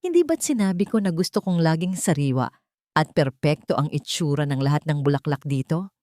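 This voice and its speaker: Female narrator as imperious rich Filipina for audio drama; sugary yet cutting, raised pitch, dry sound. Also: lively intonation, brisk, no echo. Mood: sweetly sharp. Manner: sweet but sharp, imperious. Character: haughty, demanding rich young woman, sweetly cutting. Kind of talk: audio drama character reading.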